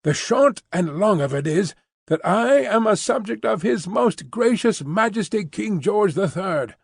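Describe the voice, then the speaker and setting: A man's voice: high voice, animated, measured pace, gravelly, breathy edge, dry recording, voice pushed up, exaggerated voice. A man voicing a fussy, fiercely loyal Englishwoman housekeeper who talks emphatically and primly. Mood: emphatic. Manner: emphatic, bustling, prim.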